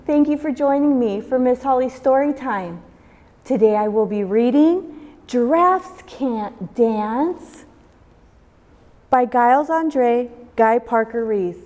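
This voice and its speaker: A woman hosts a children's storytime in a high voice. She reads at an unhurried pace, shaping the story expressively. Her voice rings in a long reverb. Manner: expressive, measured storytelling delivery. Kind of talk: read-aloud picture book narration for children. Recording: long reverb, music behind. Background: music.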